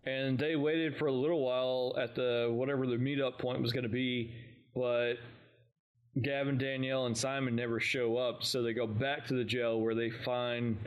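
A man's voice: medium pitch, little pitch movement, moderate pace, clean tone. Casual man recapping a story. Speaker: fan podcast co-host. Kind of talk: casual recap discussion of an audio drama.

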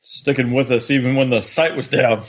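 A man with a medium-pitched voice talks as a podcast co-host. His delivery is conversational and easygoing, with a dry, wry touch. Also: steady tone, normal speed, slightly rough. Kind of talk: casual chat.

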